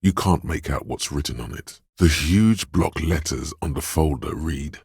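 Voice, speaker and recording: A wry, watchful inner sense of perception from a game, speaking in an extremely low, heavily husky voice. He notes small details in measured, dry observations, close to the mic with no room sound. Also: a little mellow, no room sound, heavily acted. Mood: observant. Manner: measured, observant, dryly descriptive. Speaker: voice of a detective's perception skill. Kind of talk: scripted game voice lines.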